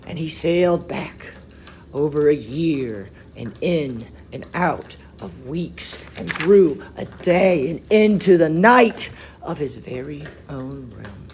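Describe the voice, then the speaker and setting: A man reading a children's story aloud expressively, with deliberate, dramatic phrasing that swells and hushes. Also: fairly high pitch, expressive, slight background noise. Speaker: storybook reader for schoolchildren.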